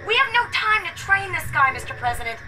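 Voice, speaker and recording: An actress with an American accent playing a brash, defiant young commando over a field transmission. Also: female voice, very high-pitched, very animated, fast. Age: young adult. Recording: noisy, distant battlefield transmission.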